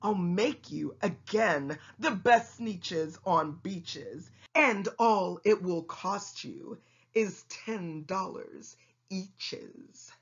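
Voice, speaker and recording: Lady doing a sly boastful salesman guy from a rhyming storybook, slow pitch swoops, dull lo-fi sound. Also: lively intonation, exaggerated voice. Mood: sly, reassuring. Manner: smooth-talking sales patter, boastful. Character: sly, fast-talking male salesman peddling machines. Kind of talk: rhyming storybook read-aloud.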